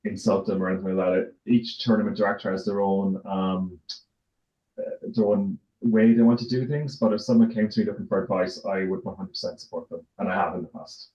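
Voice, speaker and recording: A middle-aged Irish man talks casually as a guest in an interview. His voice sits on the low side. The recording is roomy and echoing, with a dull, lo-fi tone. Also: steady tone, brisk, dark tone. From Ireland, raised in County Tipperary. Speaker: interview guest, tennis tournament director. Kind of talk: casual conversational interview answers. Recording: roomy echo, dull lo-fi sound.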